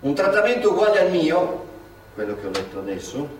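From some way off in an echoey theatre, with faint noise, an earnest male interviewer speaks in a mid-pitched voice. He delivers his lines as stage dialogue, as one asking and reading. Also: sing-song, a little fast, distant mic. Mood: sober. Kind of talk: stage dialogue and read testimony.

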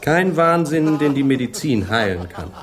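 An actor playing a cynical English physician of centuries past in a German radio drama, delivering dry, mocking pronouncements. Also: male voice, a little high, very animated, normal speed, crowd noise. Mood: mocking, ominous. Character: cynical, sardonic English physician of Shakespeare's day.

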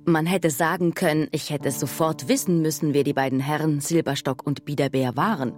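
Actress as composed female storyteller, deep register, measured literary phrasing, radio play narration, faint music behind. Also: fairly even intonation, a little mellow, close to an everyday voice. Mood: reflective, composed. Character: adult female narrator recalling her girlhood, composed storyteller. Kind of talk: scripted radio drama narration. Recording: studio radio drama with music.

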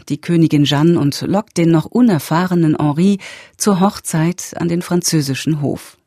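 Mellow, husky female radio announcer. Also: low-pitched, dry recording, studio quality. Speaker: announcer, narrator. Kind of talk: scripted radio introduction.

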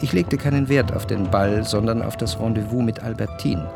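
An actor playing an introspective, yearning gentleman who narrates a literary radio drama in a measured, reflective way. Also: fairly low pitch, vocal fry, music in the background. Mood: composed.

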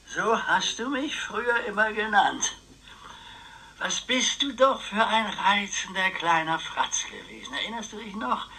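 An actor playing a wily, talkative old uncle in a German radio crime drama, excitable, teasing and boastful. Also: male voice, high voice, a little slow, full character voice, poor audio. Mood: wry, animated. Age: older. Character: wily, boastful, chatty old uncle, retired wartime planner.

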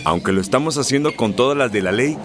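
A man playing a secretive, smooth-talking recruiter who is easily offended, in a radio soap opera. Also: medium pitch, expressive, fast, background noise, natural voice. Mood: guarded, firm. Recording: music behind the voice.

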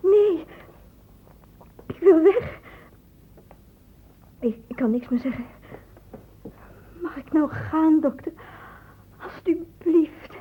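A woman's voice: high voice, expressive, drawn-out words, long pauses, heavily acted. An actress playing a frightened young female student in a radio drama, hesitant and pleading. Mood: anxious, pleading. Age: young adult. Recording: muffled phone-like old radio recording.

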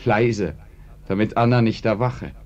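Male radio drama narrator, mellow dark tone, medium pitch, measured wry delivery, muffled old recording. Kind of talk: scripted narration addressed to listeners.

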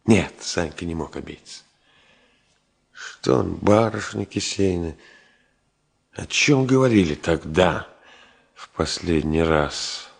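A brooding, reflective man in a radio play, thinking aloud. His voice is very low and husky, with slow, clipped phrases that question himself. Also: expressive, full character voice. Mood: brooding, puzzled. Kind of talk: introspective Soviet radio drama monologue.

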